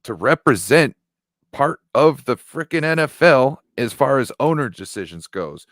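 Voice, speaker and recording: A man's voice: medium pitch, expressive, measured pace, no echo, studio quality. A man co-hosting a sports fan show in casual, slangy banter, often checking in with the listener. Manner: slangy, informal, peppers talk with check-in phrases.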